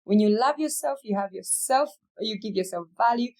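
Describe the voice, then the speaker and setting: A woman's voice: on the high side, lively intonation, moderate pace, a little mellow. A woman from Africa speaking as an interview guest in a motivational way, repeating key phrases for weight. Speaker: interview guest, real estate company CEO.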